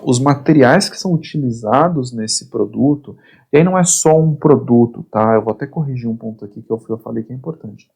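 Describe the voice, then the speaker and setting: Man, hoarse, raspy, online course instructor, short phrases, frequent check-ins. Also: mid-range voice, darkish tone. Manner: explains in short phrases, constant "tá?" check-ins, repeats words. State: getting hoarse.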